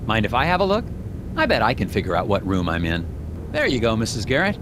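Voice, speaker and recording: An actor playing an earnest, awkward, polite government investigator in a video game, officious and slightly hesitant. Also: male voice, lowish voice, wildly swooping pitch, fast, background noise. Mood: polite, inquiring. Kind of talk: video game character dialogue and dictated reports.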